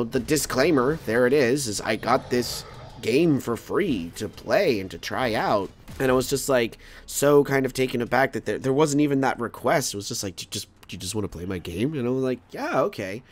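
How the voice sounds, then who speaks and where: A man with a cold casually reviewing a video game, rambling and stammering, with easy laughter. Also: mid-range voice. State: fighting a cold.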